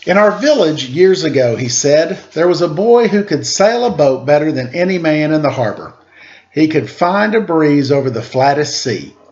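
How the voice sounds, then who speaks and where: A man's voice: on the high side, lo-fi sound. A man speaking in a playful, informal storytelling style for children, into a computer microphone. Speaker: storyteller, host reading picture books aloud. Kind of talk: casual chatty introduction and expressive read-aloud for children.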